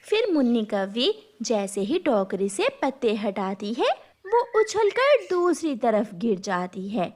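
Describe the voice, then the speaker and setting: Children's story narrator: woman, fairly high, very animated delivery. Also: slow pace.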